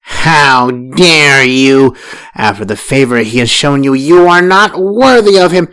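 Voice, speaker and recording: A man voicing a tall, domineering older woman, a first wife who sneers and scolds in a slow, scornful voice. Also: deep voice, lively intonation, voice pushed up. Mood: outraged.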